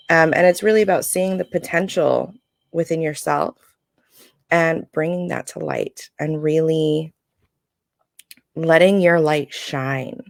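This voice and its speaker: A woman with a low, gravelly voice talks casually to her live viewers as she reads oracle cards. Her delivery is informal and explanatory, with halting stretches. The sound is close and dry. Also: no room sound. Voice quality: scratchy voice. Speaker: live-stream oracle card reader and host. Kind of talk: casual spiritual card reading to a live audience. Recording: music playing during a live stream.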